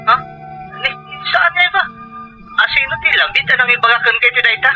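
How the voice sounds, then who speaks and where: A man playing an evasive, sheepish gambling husband with an Ilocano accent, halting and stammering, in dull lo-fi audio. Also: very high-pitched, creaky, music in the background. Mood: flustered. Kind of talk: Ilocano comedy drama acting.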